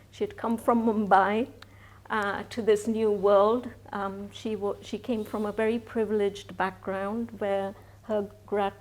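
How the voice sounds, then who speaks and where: Woman, 60s, interview guest, chatty storyteller, mid-pitched voice. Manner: chatty, laughs often, frequent restarts. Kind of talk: live stage interview, personal storytelling.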